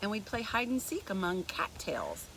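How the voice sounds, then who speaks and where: A woman reading a picture book aloud as a story time host, speaking deliberately and expressively to young children. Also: medium pitch, a little hiss or hum, poor audio. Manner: deliberate, expressive, addressing young listeners directly.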